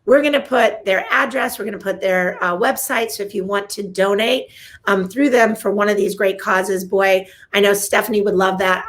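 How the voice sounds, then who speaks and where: A woman hosting a casual interview show, enthusiastic and full of praise, repeating her words when excited. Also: medium pitch. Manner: enthusiastic, gushing, repeats "love". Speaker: host, realtor.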